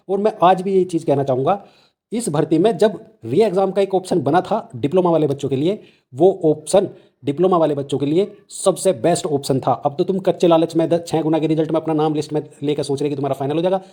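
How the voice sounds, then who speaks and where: Young man, North Indian accent, slightly high voice, soft mellow timbre, informal explainer, insistent, colloquial. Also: a little fast. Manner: insistent, colloquial, addresses listeners as brothers, repeats points for emphasis. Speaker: exam-news channel host and candidate activist. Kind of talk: informal explanatory talk to viewers.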